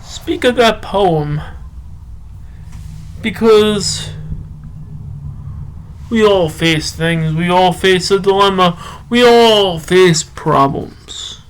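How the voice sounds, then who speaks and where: Man, podcast host, extremely slow halting delivery, long pauses, solo poetry reading, faint background noise. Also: high voice. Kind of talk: rambling solo talk and poem reading.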